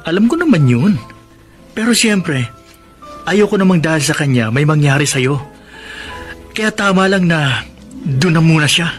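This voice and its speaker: An actor playing a protective, stubborn young husband in a Filipino radio drama, speaking earnestly and firmly. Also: male voice, a little high, lively intonation, unhurried, long pauses, faint music behind. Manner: earnest, firm.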